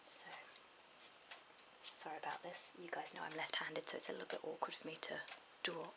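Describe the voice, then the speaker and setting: Woman, breathy ASMR whisper, low creaky voice, informal drawing tutor, hesitant pauses. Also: little pitch movement. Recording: very noisy amateur camera audio.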